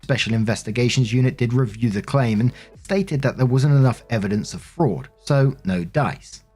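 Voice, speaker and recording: A man's voice: medium pitch, normal speed, darkish tone, husky. Conversational man narrating over music. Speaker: narrator and host of a story-reading show. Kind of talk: reading online stories aloud with casual commentary.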